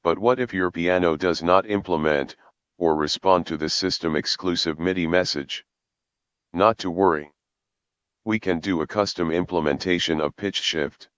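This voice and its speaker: A man with a low, resonant voice narrates a tutorial in measured, formal sentences. The recording is dry and close, with a slightly dull top end. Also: a bit bright, no echo. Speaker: programming tutorial presenter.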